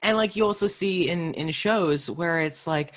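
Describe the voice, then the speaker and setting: A woman's voice: on the low side, normal speed. A woman chatting informally in an interview, slipping into imagined dialogue as she talks. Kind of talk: casual conversational interview.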